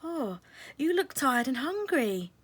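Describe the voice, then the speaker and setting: A woman's voice: high voice, very animated, slow pace, a bit bright, pitched above the performer's own voice, heavily acted. A woman voicing a kind young butterfly fairy in a children's storybook, earnest and pleading. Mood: caring.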